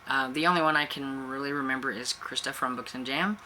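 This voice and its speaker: A woman hosting a book channel chats loosely to camera, circling back on herself with plenty of fillers. She has a cold, so she sounds stuffy-nosed and a touch raspy. Her tone stays bright and sharp, with a faint hiss of noise behind her. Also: very deep voice, brisk, poor audio. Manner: rambling and chatty, with many fillers and self-restarts. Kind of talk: casual chat to camera.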